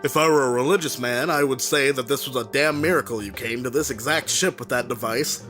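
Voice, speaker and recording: A man plays a decisive wartime navy captain in an audio drama. He is blunt and plain-spoken, giving orders at a middling pitch over quiet music. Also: brisk, lightly acted. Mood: earnest, frustrated. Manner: blunt, commanding. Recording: music behind the voice.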